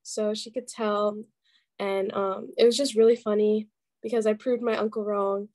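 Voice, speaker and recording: A woman's voice: medium pitch, level tone, moderate pace, a little mellow. A young woman in college telling a rambling story on a video call, with many "like"s. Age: young adult. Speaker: college student participant. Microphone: headphones and laptop webcam on a video call.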